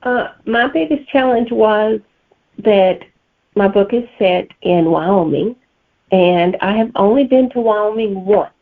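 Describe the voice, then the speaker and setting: A woman's voice: mid-range voice, slow pace. Older-ish alabama lady, southern accent, author being interviewed, phone-like audio. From Alabama, United States. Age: middle-aged or older. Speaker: guest author. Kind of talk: interview answers. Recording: tinny phone-like line.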